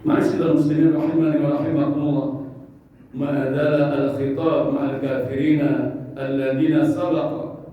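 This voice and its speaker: A man's voice: fairly high pitch, level tone, normal speed. A man preaching a joking, conversational mosque sermon and asking his listeners questions. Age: 50s. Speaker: Islamic preacher. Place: large mosque hall. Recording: echoey, distant, dull mosque recording.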